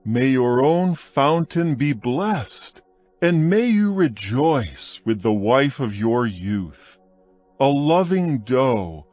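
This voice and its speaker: A man gives a slow, solemn reading of scripture, his pitch rising and falling with feeling on each appeal. The voice is close and dry, with soft music playing faintly underneath. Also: no room sound. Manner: slow, measured, solemn recitation with lively rises on appeals. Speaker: Bible audio narrator.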